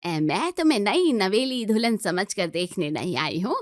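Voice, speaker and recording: A woman's voice: mid-range voice, sing-song, normal speed, no echo, studio quality, lightly acted. Woman as a stern older family aunt. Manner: firm, admonishing.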